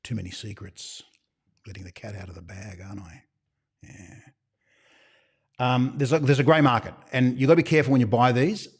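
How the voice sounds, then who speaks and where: A man's voice: medium pitch, brisk, pauses often, breathy edge. Chatty Australian man hosting a live Q&A. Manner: chatty, rambling, with conspiratorial joking asides. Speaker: shop owner hosting a live Q&A. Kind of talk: casual livestream Q&A.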